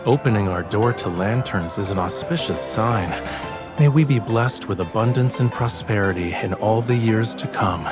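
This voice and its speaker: A man playing a gentle, romantic young suitor with a poetic, courtly manner in a scripted audio drama. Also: lowish voice, moderate pace, lightly acted. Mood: tender. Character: tender, playful, romantic young man wooing his beloved. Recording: music behind the voice.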